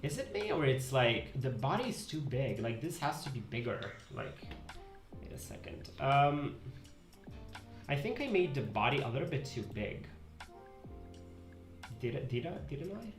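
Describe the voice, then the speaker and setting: A man's voice: mid-range voice, big pitch swings, measured pace, long pauses, reverberant, music in the background. A man in his twenties with an Italian accent, chatting casually on a live stream while drawing, wandering and correcting himself mid-sentence. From Italy. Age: 20s. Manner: rambling, self-correcting, reads chat aloud. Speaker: pixel art streamer. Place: home desk room. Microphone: headset.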